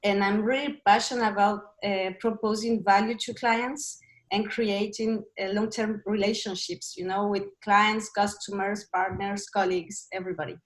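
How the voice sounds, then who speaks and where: A woman from Chile and Brazil, a business consultant and interview guest, speaking as an in a halting way as she searches for words over a video call. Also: mid-range voice, level tone, low-quality recording.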